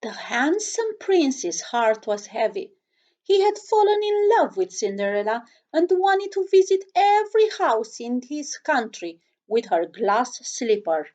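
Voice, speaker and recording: A woman's voice: sits high, sing-song, measured pace, a little mellow, lo-fi sound. A woman reading a children's storybook aloud, expressively, chatting to young listeners with questions and asides.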